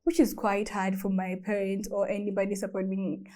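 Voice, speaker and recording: A woman's voice: mid-range voice, flat delivery, fairly quick, warm tone. A young woman on a panel, explaining her public health work in an earnest way. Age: young adult. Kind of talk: panel discussion.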